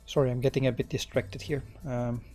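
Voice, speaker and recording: A middle-aged Dutch man with a Dutch accent, live-coding on a stream and thinking aloud, relaxed and halting with many fillers and restarts. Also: mid-range voice, faint music behind. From Netherlands.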